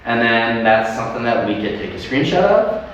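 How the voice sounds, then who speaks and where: A man talking in an animated way as a guest in a livestream interview, laughing often and restarting his sentences. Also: medium pitch, moderate pace, far from the mic, highs cut off. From United States. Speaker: sports club leader and guest. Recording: echoey room from afar, faint noise.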